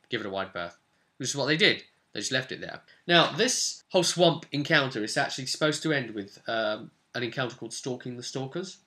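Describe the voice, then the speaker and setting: A man's voice: medium pitch, pushed and bright. A man recounting a tabletop role-playing session as its game master, in an informal storytelling way with brief acted-out quotes. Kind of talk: informal spoken recap, storytelling.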